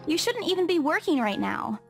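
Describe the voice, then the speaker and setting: A caring mother-hen young lady in a visual novel, high pitched and animated, music behind. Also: brisk, gravelly, exaggerated voice. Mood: concerned, insistent. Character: caring, mothering young woman fussing over her friend.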